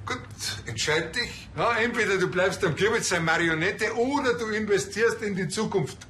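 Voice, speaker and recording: A man's voice: high voice, normal speed, a bit bright, a little hiss or hum. An actor playing a petulant, bossy policeman with a Bavarian accent in a TV comedy, grumbling as he talks. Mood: bossy, scheming.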